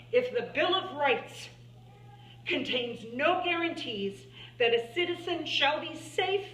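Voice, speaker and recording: A woman's voice: sits high, bright, forceful tone, full character voice. A woman playing an earnest scientist and writer, giving a formal, emphatic speech to lawmakers in a dramatic monologue. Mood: pointed, indignant. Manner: deliberate, emphatic, oratorical. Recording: echoey room, distant mic, faint hum.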